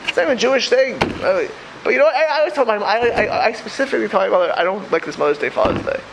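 An animated rabbi in his thirties teaching a class, his voice riding high and swinging widely in pitch. He stumbles over repeated words and restarts often, laughing between points. A faint hiss sits behind him. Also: rapid-fire, gravelly. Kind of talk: Torah class talk.

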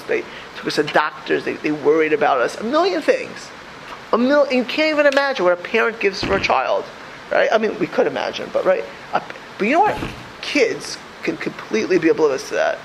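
A man in his thirties, a rabbi giving a casual Torah class, animated, often repeating words and restarting sentences. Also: sits high, creaky, slight background noise, rough recording.

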